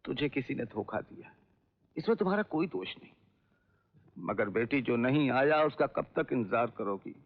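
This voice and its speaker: Gentle old father, very echoing sound. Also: mid-range voice, expressive, normal speed. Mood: gentle, concerned. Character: gentle, concerned elderly father counselling his daughter. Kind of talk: old Hindi film drama acting. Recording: dull old film track, long reverb.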